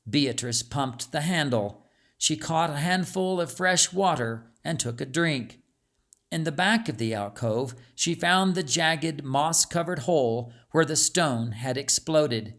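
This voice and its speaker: Slow-paced man narrating a storybook. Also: a little high, fairly bright tone. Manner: measured, dramatic storytelling delivery. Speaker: audiobook narrator.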